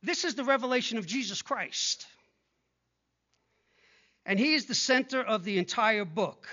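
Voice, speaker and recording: A man in his sixties preaching a sermon, speaking conversationally and growing hushed when he prays. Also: sits high, measured pace, lo-fi sound. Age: 60s. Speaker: pastor. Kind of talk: sermon with prayer and scripture reading.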